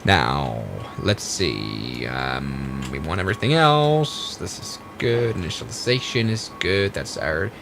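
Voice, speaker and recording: A man's voice: low-pitched, expressive, takes it slowly, creaky, few pauses, loud music behind the voice. A man casually talking through a flight simulator stream, narrating each step aloud and correcting himself as he goes. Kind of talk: casual live-stream commentary.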